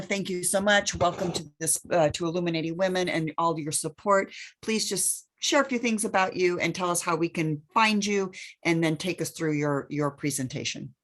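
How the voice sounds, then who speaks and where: A woman hosting an online women's event, introducing speakers off the cuff in an effusive way. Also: on the low side.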